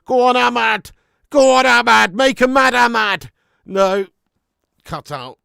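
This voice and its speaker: A man hosting a live fan watch-along of a football match at home, chatting to viewers with sweary banter and bursting into loud cheering at chances. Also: very high-pitched, a little slow, creaky, smooth, no echo, clean studio sound. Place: at home in front of a camera.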